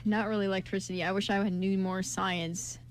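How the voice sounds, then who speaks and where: A woman's voice: medium pitch, level tone, a little fast. Woman chatting casually, noisy amateur recording. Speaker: radio show co-host and writer. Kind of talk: casual chat. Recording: amateur recording with background noise.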